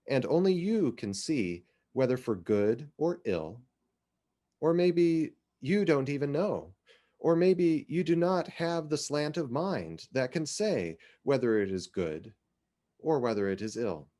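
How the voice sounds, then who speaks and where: A man giving a dramatic literary reading of poetry, with measured, deliberate, theatrical phrasing. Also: mid-range voice, dark tone. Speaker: literary reader.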